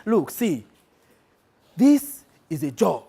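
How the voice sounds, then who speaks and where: A man playing a brash, wheedling, money-minded talent agent with a Nigerian accent in a dramatic monologue, animated and full of exclamations. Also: high-pitched, slow pace, creaky, husky, exaggerated voice. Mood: cajoling, upbeat. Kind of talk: one-person dramatic monologue.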